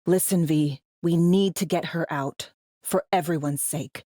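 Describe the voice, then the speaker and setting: A tough, sardonic spy handler from a cyberpunk video game. Her voice sits fairly low in a very dry studio sound. She talks in clipped slang, bossy and teasing, like someone used to running operations. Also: a little slow, warm tone, no room sound. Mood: probing, serious. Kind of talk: video game voice acting, briefings and radio guidance.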